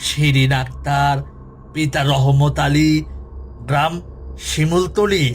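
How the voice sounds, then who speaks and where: A man playing a careful, deliberate literate villager in a ghost story. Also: fairly high pitch, steady tone, slight background noise. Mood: puzzled, careful. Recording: music behind the voice.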